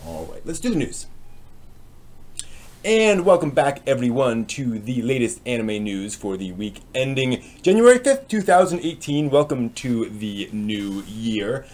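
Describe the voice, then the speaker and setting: A man hosting a live stream in a casual, chatty way, with a halting, hesitant delivery that tends to trail off. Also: medium pitch, big pitch swings, a little mellow.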